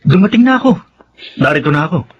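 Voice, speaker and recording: Muffled, high-voiced cheating husband. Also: male voice, very animated, fast talker, slightly rough. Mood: breezy. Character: cheating husband, evasive and sheepish. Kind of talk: Filipino radio drama acting. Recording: muffled, faintly noisy radio drama recording.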